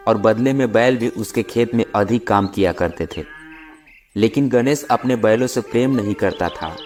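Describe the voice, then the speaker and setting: A man's voice: fairly low pitch, darkish tone. A man narrating a scripted moral tale for children as the storyteller. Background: background music.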